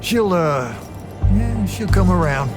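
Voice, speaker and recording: An actor playing a salty, folksy veteran soldier in a video game cutscene, wry and gruffly fond in manner. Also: male voice, high-pitched, expressive, takes it slowly, music in the background. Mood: fond, teasing.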